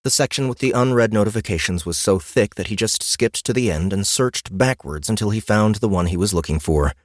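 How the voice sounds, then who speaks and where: A man's voice: fairly low pitch, expressive, fairly quick, no echo, clean studio sound. A man narrating a fantasy audiobook in a scripted storytelling style.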